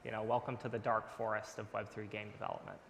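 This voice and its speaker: A man's voice: fairly low pitch, little pitch movement, fast talker, vocal fry, a bit bright. A man answers on a conference panel in a confident, fluent, explanatory way. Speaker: panelist, game company leader. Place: large conference event hall. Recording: echoey hall with faint noise.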